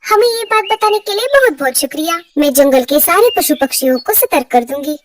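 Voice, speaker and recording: A woman's voice: high voice, lively intonation, a little fast, distant mic. A woman voicing a small, helpful female bird in a cartoon story, earnest and polite. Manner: earnest, respectful. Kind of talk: Hindi cartoon story dubbing.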